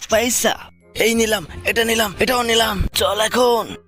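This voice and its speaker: A man plays a brash cartoon prankster with a high-pitched voice. He is cheeky, crude and boastful, delivering comedic dubbing peppered with swearing. Loud music plays behind him. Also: steady tone, unhurried.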